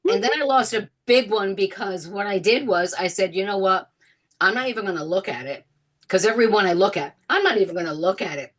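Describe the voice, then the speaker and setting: A woman with a raspy voice from being sick, chatting casually and self-deprecatingly as a guest. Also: mid-range voice, brisk. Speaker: guest participant. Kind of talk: casual conversation.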